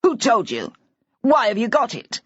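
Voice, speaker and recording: Woman doing an older husband, distraught, rambling, husky, dry, dull recording. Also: a little high, huge pitch swings, normal speed, dry recording, voice pushed up, heavily acted. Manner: hysterical, rambling.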